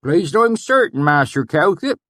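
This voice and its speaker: A man voicing a wary, weathered old swineherd in an audiobook, with a thick rural dialect and a loud, hearty manner. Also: very animated, a little slow, dry recording, clean studio sound. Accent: thick rural backwoods dialect. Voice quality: shouting, hearty, high-pitched. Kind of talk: audiobook character voice.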